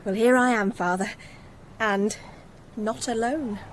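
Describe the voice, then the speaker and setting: An actress playing a loving, teasing young working-class daughter in a radio drama. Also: female voice, a little high, fairly even intonation, slow pace, a little hiss or hum. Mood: excited coaxing. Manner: teasing, coaxing. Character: loving, playful twenty-year-old working-class daughter.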